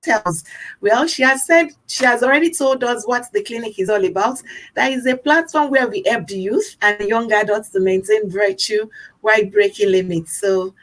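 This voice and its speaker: A woman's voice: a little high, moderate pace, fairly bright tone, rough recording. A young Nigerian woman talking casually about herself as a guest, often repeating phrases for emphasis. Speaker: talk show host appearing as guest. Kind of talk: casual personal talk.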